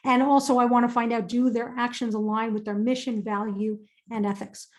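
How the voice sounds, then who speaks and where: A woman's voice: fairly high pitch, level tone, fairly quick, a little mellow. A woman presenting a career webinar and answering audience questions in an upbeat, emphatic way, often repeating phrases for stress.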